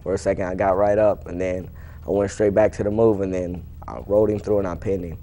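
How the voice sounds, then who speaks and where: A man's voice: low voice, steady tone, fast talker, mellow. A young man, an athlete being interviewed, answering casually in a plain, matter-of-fact, informal way.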